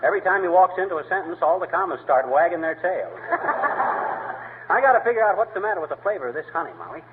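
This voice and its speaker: A man's voice: high voice, sing-song, fast talker. A man playing a boastful, wisecracking husband in a 1940s radio comedy, delivering punchlines with brash glee. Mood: punning. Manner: brash punchline delivery, laughing at his own jokes. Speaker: comic lead of a radio sitcom. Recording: tinny, noisy old radio broadcast.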